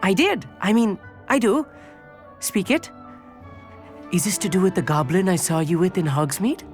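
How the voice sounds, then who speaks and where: An actor playing a bookish, polite teenage wizard schoolboy, earnest and a little pompous, in fantasy game dialogue. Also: male voice, high-pitched, normal speed, close to an everyday voice. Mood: eager, curious. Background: faint background music.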